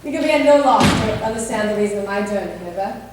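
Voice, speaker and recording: A woman's voice: mid-range voice, lively intonation, quick pace, noisy background, close to an everyday voice. Haughty older noblewoman played by a woman, pompous, cold, interrogating, period stage delivery, distant and heavily echoing. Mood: indignant. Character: imperious, haughty older aristocratic lady. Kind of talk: period stage play acting. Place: theatre stage. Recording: echoey stage recorded from far off.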